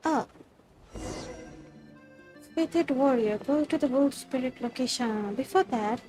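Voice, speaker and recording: A woman game streamer with an Indian accent and a high voice. She comments casually and reads along, with faint music behind her. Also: slow pace, mellow.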